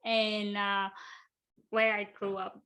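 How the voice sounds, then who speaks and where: A middle-aged woman from Vietnam with a Vietnamese accent, a guest who answers in a halting way and laughs often. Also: mid-range voice, flat delivery, sharp tone. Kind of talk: casual interview chat. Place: office.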